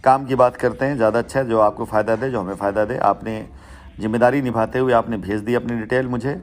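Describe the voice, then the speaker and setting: A man speaks at a middling pitch on a recorded phone call. He sounds like a boss explaining business, repeating himself and stacking up lists and analogies to make each point. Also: fairly even intonation, quick pace. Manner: rhetorical, repetitive, talking in lists and analogies. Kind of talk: informal business phone conversation.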